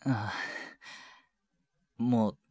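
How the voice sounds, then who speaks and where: A man playing a shy junior boyfriend in an intimate ASMR voice drama, speaking softly and hesitantly with breathy murmurs and casual politeness. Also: fairly low pitch, steady tone, very slow, no echo. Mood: tender, gently firm. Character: shy younger junior boyfriend, awkward at showing affection. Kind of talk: intimate ASMR situation voice drama, one side of a phone call. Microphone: close, dry microphone.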